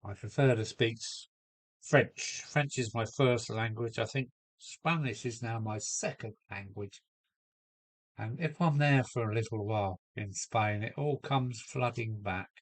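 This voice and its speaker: A man with a cold chatting casually on a home live stream from his loft, answering viewers and trying out halting Spanish phrases. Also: medium pitch, rough recording. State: has a cold. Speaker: model railway live streamer.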